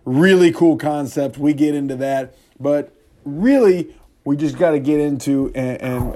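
Mellow, casual man hosting a podcast. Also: on the high side. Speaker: podcast host. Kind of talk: casual podcast intro and interviewing.

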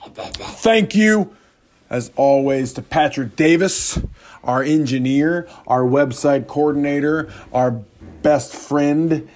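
A man's voice: a little high, slow pace, darkish tone. A man hosting a podcast in a casual, conversational style, introducing the show and his guest. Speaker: podcast host.

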